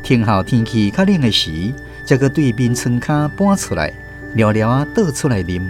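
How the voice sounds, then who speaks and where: A man's voice: medium pitch, very animated, moderate pace, over music. A man with a Taiwanese accent giving scripted story narration as the narrator and host of a radio drama.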